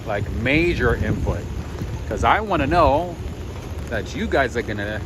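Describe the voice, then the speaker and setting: A man's voice: a little slow, gravelly. A man hosting a night-time walking livestream outdoors in a city, chatting casually with his viewers. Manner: chatty, addresses viewers, lively swings in pitch. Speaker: livestream walking-tour host. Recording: loud street noise. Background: loud city traffic noise.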